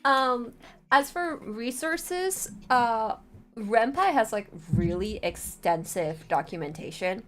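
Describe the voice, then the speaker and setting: A woman's voice: fairly high pitch, lively intonation, slow pace, fairly bright tone. A woman talking informally as a streamer teaching a tutorial, chatty and often checking in with her listeners. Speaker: streamer teaching how to make visual novels. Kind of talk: casual livestream tutorial talk.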